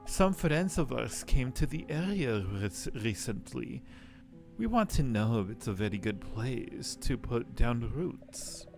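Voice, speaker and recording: A man voicing a haughty, sinister, formal woman from a spooky game, speaking in a slow, drawn-out, aloof way. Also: mid-range voice, sing-song, gravelly, music in the background, exaggerated voice. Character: haughty, sinister, formally dressed woman out walking her dog.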